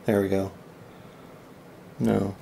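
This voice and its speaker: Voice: deep voice, little pitch movement, slow pace, vocal fry. A host giving casual, off-the-cuff repair commentary, rambling and muttering while thinking aloud on a noisy recording. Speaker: video host repairing electronics.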